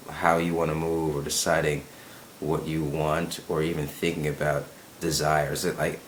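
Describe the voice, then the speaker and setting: A man's voice: very deep voice, a bit bright, slight background noise, rough recording. A man talking in a casual, musing monologue, often checking in with "right?" and repeating words as he thinks. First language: English. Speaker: self-help video host.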